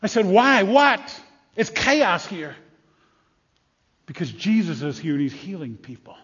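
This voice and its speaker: A man playing an excitable blind beggar of ancient Jerusalem in a dramatic first-person monologue, halting and repeating himself, swinging between hushed and loud. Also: on the high side, husky. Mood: frightened, agitated. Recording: echoey large hall, slightly muffled.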